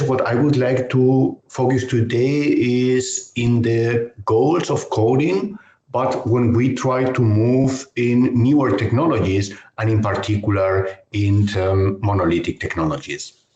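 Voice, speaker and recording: A man's voice: medium pitch, darkish tone, muffled recording. Spanish-accented man lecturing in an echoey room. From Spain. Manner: measured, careful explanation with frequent hesitations. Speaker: university professor. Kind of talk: technical webinar lecture. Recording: echoey online call audio.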